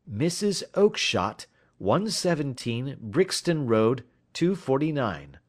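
A man voicing a cool, shrewd gentleman detective in an audiobook, with a polite, careless, lilting manner. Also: mid-range voice, big pitch swings, takes it slowly, pitched above the performer's own voice. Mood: level, reading aloud. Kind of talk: audiobook character dialogue.

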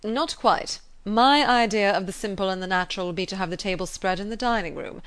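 A woman voicing a calm, dry-witted country gentleman who speaks in a measured, firm way. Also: mid-range voice, clean tone, lightly acted. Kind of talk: audiobook character reading.

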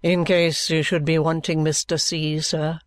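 A woman's voice: low voice, flat delivery, a little slow, dry recording. A woman voicing a sinister, reserved male lawyer in an audiobook, formally polite and subdued. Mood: cold, subdued.

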